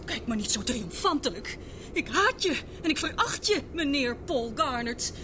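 Fiery, high-voiced young woman. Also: very animated, normal speed. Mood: angry, scornful. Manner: sharp, accusatory. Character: fiery, haughty young woman, professor's daughter. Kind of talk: Dutch radio drama acting. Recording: noisy old radio drama recording.

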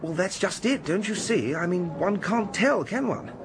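An actor playing a silly upper-class English gentleman in a radio drama, flustered, apologetic and rambling. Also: sits high, animated, moderate pace, over music.

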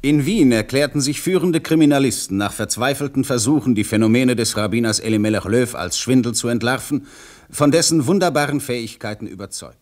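An actor playing a sober newsreader, delivering formal bulletins in a radio play. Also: male voice, medium pitch, a little fast, darkish tone, natural voice. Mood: sober, official.

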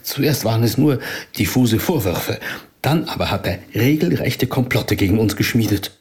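An actor playing an aggrieved, guarded community rabbi in a German audio drama, speaking in a measured, earnest, explaining way. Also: male voice, on the low side, lively intonation, darkish tone, slightly rough, full character voice.

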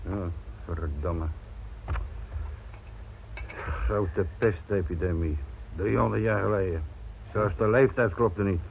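An actor playing a weary, frustrated police inspector in a radio play, gruff and curt, muttering the odd curse. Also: male voice, low-pitched, a little slow. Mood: irritated. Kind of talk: Dutch radio play acting. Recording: noisy old radio drama recording.

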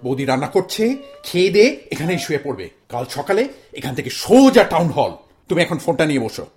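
A man acts out a middle-aged Bengali family man in an audio drama, with a high-pitched voice. He is pompous and indignant, declaiming like a self-appointed protest leader and hectoring whoever is listening. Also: sing-song, fast talker, darkish tone. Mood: lecturing. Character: self-important, indignant Bengali husband and father, zealous would-be protester. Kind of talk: stage-style radio play acting.

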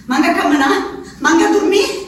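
An actress playing an anguished, pleading peasant wife shut in an asylum, in a halting theatrical monologue with a rustic Abruzzese accent. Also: female voice, very high voice. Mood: troubled, agitated. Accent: central-southern Italian, Abruzzese. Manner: rustic, halting, tagging phrases with "eh". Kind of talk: theatrical monologue, letter spoken aloud. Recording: roomy, distant stage sound, faint noise.

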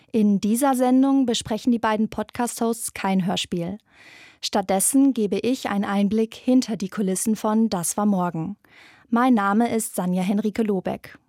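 A woman's voice: mid-range voice, mellow, no room sound, clean studio sound. A young woman in her twenties presenting a scripted podcast narration. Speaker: presenter, radio drama intern.

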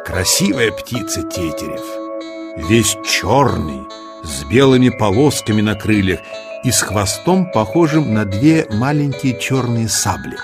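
A man narrating a children's nature tale in an expressive scripted storytelling style. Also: fairly low pitch. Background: music.

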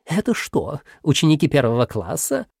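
A man's voice: a little high, sing-song, normal speed, creaky, darkish tone, breathy edge, no echo, studio quality, voice pushed up, full character voice. A man voicing a sly, whiny little minus sign, a wheedling troublemaker in a children's story. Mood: feigned innocence. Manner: wheedling, pleading, evasive.

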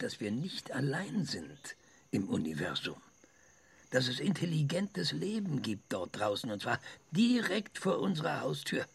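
An actor playing an embittered, impatient middle-aged visionary lecturing a sceptic in a radio drama, husky and sarcastic. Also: male voice, medium pitch, a bit bright, exaggerated voice. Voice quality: gravelly, husky.

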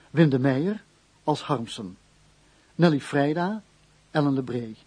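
Husky male radio announcer, dry sound. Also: fairly high pitch, darkish tone, no echo. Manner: formal, measured. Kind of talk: scripted radio credits announcement.

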